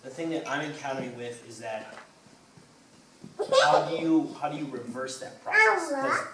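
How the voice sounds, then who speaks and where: A man in a conference hall speaks up from the audience with a lively, swooping voice that rambles and circles back on itself. He is picked up from far off in an echoey room with loud background noise. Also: medium pitch, normal speed. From Eugene, Oregon. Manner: rambling, animated, repeats himself. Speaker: audience member asking a question. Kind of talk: casual question from the floor.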